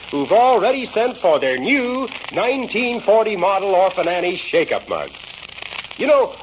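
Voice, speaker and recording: An American man giving a peppy, chummy 1940s radio sales pitch aimed at children, full of exclamation. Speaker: radio commercial announcer. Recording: noisy vintage radio recording.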